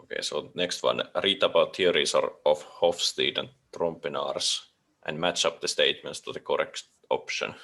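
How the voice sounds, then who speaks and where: A man's voice: extremely low pitch, steady tone, moderate pace, creaky, bright, forceful tone. A man reading out notes in a tentative way during a student group meeting on a video call. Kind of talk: casual online meeting.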